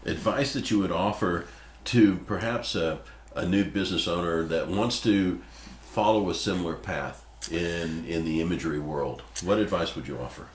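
An older man with a very low voice reads brief interview questions from his notes. His voice rings a little in the small room, with a faint hiss underneath. He sits at a desk mic and keeps his turns short. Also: normal speed. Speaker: podcast host. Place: small home studio room. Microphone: desk mic on stand. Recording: roomy with faint background noise.

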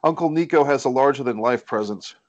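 A man's voice: mid-range voice, fairly quick, a little mellow, muffled recording. A man reading stage directions as scripted narration at a screenplay table read.

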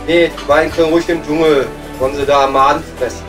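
A man's voice: on the high side, fairly even intonation, fast talker, over music, natural voice. A man playing a gossipy, mocking coal miner with a Ruhr accent in an improvised comedy audio play. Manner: colloquial, grumbling, mocking.

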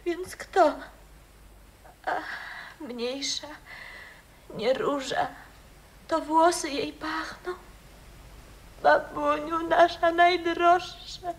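An actress playing a brisk, bossy young granddaughter in a 1960s radio drama. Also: female voice, high voice, fairly even intonation. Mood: bewildered, then grieving, tender.

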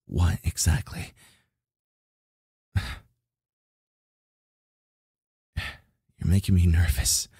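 A very breathy, husky young warrior, acted by a man for romantic ASMR, brooding yet secretly tender. He speaks slowly and haltingly on the lower side, stammering and sighing close to the mic. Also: a little mellow, no echo, exaggerated voice. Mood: flustered, wary. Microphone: close microphone.

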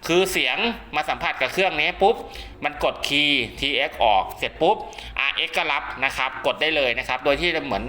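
A man's voice: fairly high pitch, fast, few pauses, bright, forceful tone. A man giving a casual spoken how-to demonstration, often checking that listeners follow along. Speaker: radio hobbyist presenter.

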